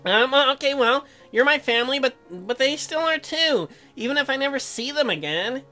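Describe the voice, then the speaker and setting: A man voicing a sulky, easily hurt young husband in a visual novel, plaintive and halting. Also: very high voice, expressive, pushed and bright, soft background music, pitched above the performer's own voice, full character voice. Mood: apologetic. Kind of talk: exaggerated visual novel dialogue reading.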